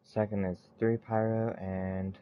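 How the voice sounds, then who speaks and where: A man giving casual gameplay commentary, haltingly reading text aloud and muttering to himself. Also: fairly low pitch, flat delivery, lo-fi sound. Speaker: gaming streamer. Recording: faint background noise.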